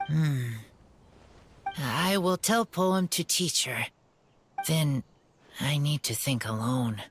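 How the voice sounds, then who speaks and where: A woman's voice: deep voice, slow, slight background noise. A woman voicing an earnest, reserved feral teenage boy in an anime-style game, speaking in terse, broken, simple sentences. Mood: earnest, determined.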